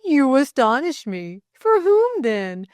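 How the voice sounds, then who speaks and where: A woman voicing an imperious, teasing gentleman, master of the house, in a declamatory audiobook style. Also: medium pitch, lively intonation, measured pace, no echo, studio quality, natural voice. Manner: pompous, declamatory, bantering.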